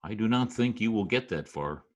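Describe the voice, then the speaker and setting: An actor playing a wry, deadpan Broadway go-between who also narrates, in old-time radio comedy style. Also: male voice, on the low side, normal speed, lightly acted. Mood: wry, persuasive.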